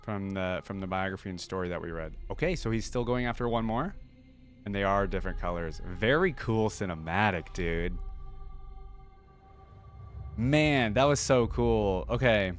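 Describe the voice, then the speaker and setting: A man's voice: lowish voice, expressive, moderate pace. A man hosting a reaction video, commenting casually and thinking aloud with lots of questions as he watches. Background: music from the watched video.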